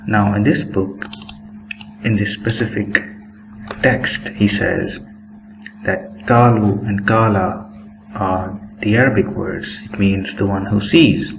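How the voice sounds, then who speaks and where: A man giving a critical commentary on book excerpts while showing screenshots, pacing himself deliberately and opening most sentences with "now". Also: on the low side, steady tone, vocal fry, noisy background. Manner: critical, disapproving tone. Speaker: religious commentator.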